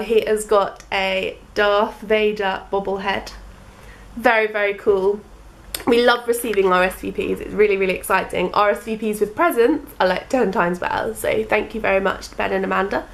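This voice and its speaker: Chatty woman with a cold, gravelly. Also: mid-range voice, fairly even intonation, moderate pace, poor audio. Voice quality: slightly husky from a cold. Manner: chatty and upbeat. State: has a cold, a bit snotty. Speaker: vlogger. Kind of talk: casual day vlog. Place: living room sofa at home, indoors. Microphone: handheld camera at arm's length.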